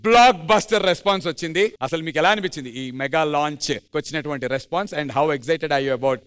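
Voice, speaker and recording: Fast, high-voiced male stage show host. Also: fairly bright tone, smooth, dry recording. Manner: effusive, announcer-like, drawing out welcomes. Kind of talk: energetic stage hosting in Telugu mixed with English. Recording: music behind, studio stage show.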